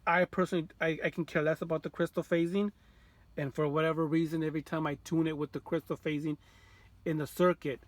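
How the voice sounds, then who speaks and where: A man's voice: fairly high pitch, flat delivery, fast talker, no room sound. A man giving an informal hands-on tutorial as a hobbyist, often repeating phrases as he explains. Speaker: radio repair hobbyist demonstrating.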